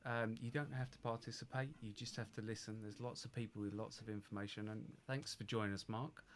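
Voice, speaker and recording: A man hosting a casual live video chat, easygoing and quick to laugh, letting his sentences trail off. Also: lowish voice, steady tone, very noisy. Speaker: host of a live hairdressing stream.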